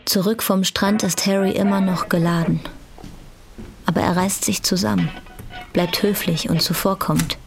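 Woman narrating a radio play, pretty flat intonation, lots of fry, music playing behind her. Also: mid-range voice, normal speed. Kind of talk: scripted radio drama narration.

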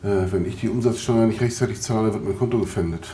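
A man working as a journalist, asking questions in a conversational interview and feeling his way through them haltingly. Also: lowish voice, mellow. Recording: roomy, noisy on-location interview.

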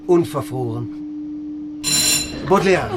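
High-voiced theatrical lift operator. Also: male voice, expressive, takes it slowly, heavy background noise. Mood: morbid, declamatory. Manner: declamatory, ceremonious. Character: solemn eccentric male lift operator reciting morbid poetry. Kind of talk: radio drama acting, theatrical recitation.

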